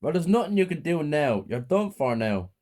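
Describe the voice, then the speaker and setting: A man's voice: on the high side, very animated, normal speed, darkish tone, pitched above the performer's own voice. Villain: man voicing a cruel mother, menacing, gloating, swooping intonation. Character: cruel murderous mother, cold and taunting.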